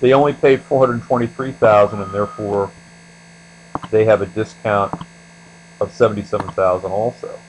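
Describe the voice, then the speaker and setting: Low-voiced man teaching, with background hum. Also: normal speed, long pauses, low-quality recording. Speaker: accounting instructor. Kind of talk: screencast tutorial lecture. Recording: steady mains hum behind voice.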